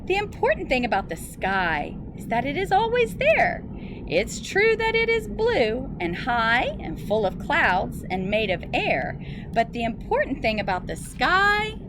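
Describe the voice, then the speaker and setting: Female teacher, highish animated voice, children's story read-aloud, recorded outdoors with light background noise. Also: measured pace. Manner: expressive, simple phrasing addressed to children. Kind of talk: picture-book read-aloud for young children. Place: outdoors in a school garden. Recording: noisy outdoor recording with ambient sound.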